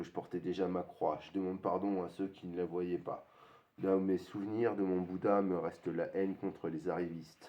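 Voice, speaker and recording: A man's voice: deep voice, dark tone. A man in his thirties performing a rhythmic rap freestyle with a recurring hook, on a dull lo-fi recording. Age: 30s. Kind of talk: rap freestyle over a beat.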